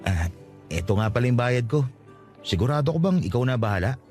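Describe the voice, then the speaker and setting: An actor as an earnest Filipino man in his late twenties, fresh from the province. He talks fast with lively swings in pitch, humble and full of flattery, in a conversational radio drama. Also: soft background music, natural voice. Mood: worried, humble.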